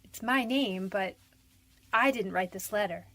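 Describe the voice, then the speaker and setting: A woman's voice: mid-range voice, a little slow, bright, forceful tone. An actress playing a frightened but defiant young convicted woman in a radio detective drama, defensive, pleading and slangy.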